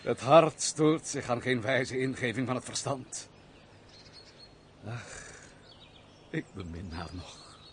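A man's voice: medium pitch, expressive, slow, long pauses, a little hiss or hum. A man acting an embittered, brooding nobleman in a Dutch radio play, with formal, declamatory old-fashioned diction. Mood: anguished. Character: brooding, embittered nobleman, weary of society, curt master.

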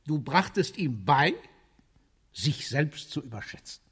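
World-weary, cynical military ruler. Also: male voice, a little high, sing-song, fairly bright tone, slightly rough, exaggerated voice. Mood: brooding, resigned. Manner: bitter, deliberate, rhetorical. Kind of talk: theatrical radio drama monologue.

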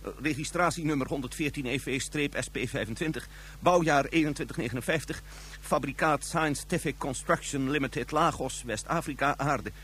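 A man's voice: a little high, normal speed, a bit bright, slight background noise, natural voice. A man acting a nervous, panicky secret agent in a radio drama, stammering and flustered. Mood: flustered, dutiful. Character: nervous, panicky male secret agent cracking under pressure.

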